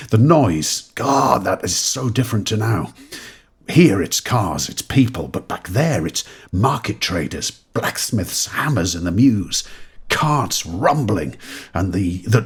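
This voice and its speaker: Rattled wry man, very raspy, slow, halting, sweary, audio drama monologue. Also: sits low, full character voice. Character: rattled man who has crossed between eras, wry, sweary.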